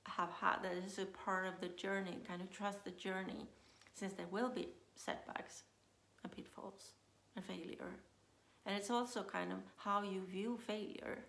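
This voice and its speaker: A woman with a Swedish accent coaches in English, her second language, taking her time and circling back with "uh" as she thinks out loud. Her voice sits at a middle pitch with a gravelly fry on it. The room around her is echoey. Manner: unhurried, thinks aloud with many uh fillers and restarts. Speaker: self-leadership coach. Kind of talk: casual talk to camera.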